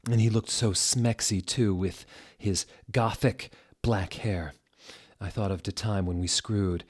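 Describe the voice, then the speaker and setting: A man giving a theatrical, mock-serious dramatic reading of comic fan fiction in a deliberate narrator's manner. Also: lowish voice.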